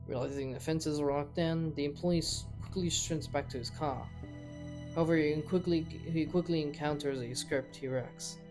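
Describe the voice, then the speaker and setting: Youtube essay narrator, casual scripted read, bit stumbly, roomy sound w loud guitar music. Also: mid-range voice, steady tone, moderate pace, distant mic. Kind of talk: scripted video commentary. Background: loud plucked guitar music.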